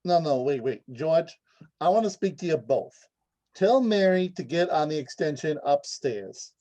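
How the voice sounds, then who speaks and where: A man's voice: on the high side, animated, measured pace, mellow. A man playing a brash, jovial young businessman on the telephone, in 1940s radio drama style. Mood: teasing. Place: indoors, recorded over a video call.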